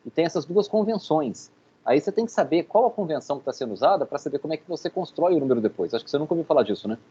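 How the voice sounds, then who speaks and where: A middle-aged man with a Brazilian Portuguese accent talks fast in a husky, mellow voice. He sounds like a professor giving feedback, explaining and correcting himself as he goes. The recording is dull and lo-fi. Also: on the high side, animated. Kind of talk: informal teaching feedback.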